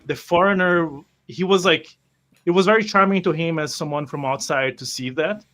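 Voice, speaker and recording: Mid-pitched man, halting, thinking aloud. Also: steady tone, pushed and bright. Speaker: tabletop role-playing player. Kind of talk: casual group chat about a role-playing game.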